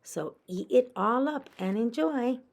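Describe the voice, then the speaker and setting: A woman plays a caring storybook animal mother. She sounds patient and encouraging, lifting her pitch a little above a plain reading voice. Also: lively intonation, measured pace. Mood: soothing.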